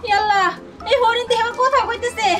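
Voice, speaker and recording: Woman acting a very high-pitched fussing village mother. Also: fairly even intonation, fast, a bit bright. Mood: fussing, kindly. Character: village mother, caring and anxious for her son. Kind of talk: dubbed children's fairy-tale short film acting. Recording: music playing behind the voice.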